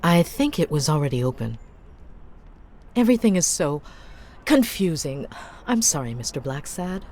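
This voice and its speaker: A woman's voice: deep voice, big pitch swings, normal speed, a little mellow. An actress playing an anxious, polite woman in a 1950s noir game, speaking in an earnest, apologetic way. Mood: shaken, confused. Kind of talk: dramatic game dialogue.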